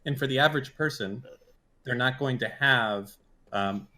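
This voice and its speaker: A man's voice: medium pitch, moderate pace. A man in his mid thirties on a stream call, talking casually in a brash, crude way. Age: 30s. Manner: brash and crude, insulting banter. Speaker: guest caller.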